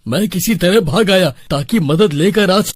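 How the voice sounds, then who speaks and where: An actor playing a timid, anxious young brother in a cartoon story. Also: male voice, sits high, measured pace, husky, no echo. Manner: anxious, pleading. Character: timid young brother-in-law, easily frightened village man.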